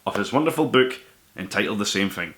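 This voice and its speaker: A man's voice: on the low side, animated, fairly quick. A man hosting a book review show, speaking in an earnest, emphatic, conversational way as he recommends what he has read. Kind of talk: enthusiastic spoken book review to camera.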